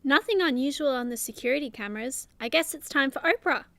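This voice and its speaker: A woman plays a level-headed, supportive superheroine in a radio comedy. Her voice sits a bit high and is recorded dry. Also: animated, fast talker, pushed and bright, no room sound, exaggerated voice. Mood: casual. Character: encouraging, level-headed female superhero teammate. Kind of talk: comedy radio play acting.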